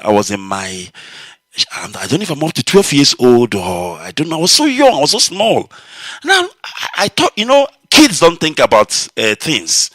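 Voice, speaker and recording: A man, an Igbo speaker, telling a personal story on a live broadcast in an animated, emotional way. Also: no room sound. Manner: animated, emotional, swinging high when excited. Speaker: radio broadcast host.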